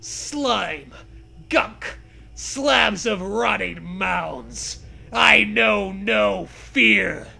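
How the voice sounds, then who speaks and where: A man's voice: high voice, lively intonation, very slow, creaky, bright, forceful tone. A man co-hosting a comic read-aloud, reciting an absurd story in a mock-dramatic manner. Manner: mock-dramatic, swears casually. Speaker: co-host reading aloud.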